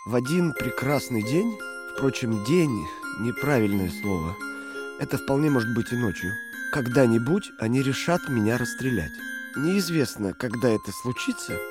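A male actor plays a political prisoner in an audio drama, broken yet inwardly defiant. His mid-pitched voice is halting and brooding, with music playing clearly behind it. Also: expressive, lightly acted.

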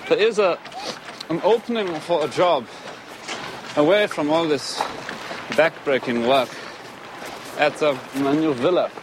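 An actor in a film drama playing a self-absorbed man in command who talks haltingly. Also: a little high, noisy background, natural voice. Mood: self-satisfied, musing. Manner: halting, hesitant.

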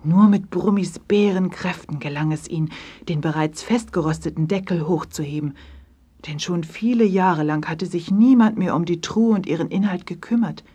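Eine Erzählerin mit rauchiger Stimme liest die verbindenden Passagen eines Kinderhörspiels wie ein Märchen vor. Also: female voice, lowish voice, normal speed, warm tone, husky. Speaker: narrator. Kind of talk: scripted children's story narration.

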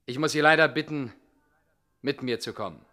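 An actor playing an officious, formal, regretful police commissioner in a 1960s German radio comedy. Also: male voice, mid-range voice, moderate pace, sharp tone, close to an everyday voice. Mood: officious, apologetic.

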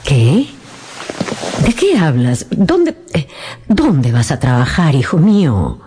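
A woman's voice: low-pitched, very animated, slow pace, mellow, a little hiss or hum, exaggerated voice. An actress playing an anxious, controlling widowed mother in a radio drama, speaking in a fretful, emotive way. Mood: alarmed, dismayed.

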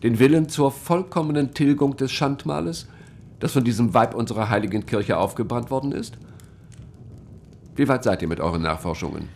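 An actor playing a stern, dogmatic, woman-hating medieval church inquisitor in a German radio drama, with solemn, deliberate phrasing. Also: gravelly, a little mellow, close to an everyday voice. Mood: stern, insistent. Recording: faint low rumbling noise.

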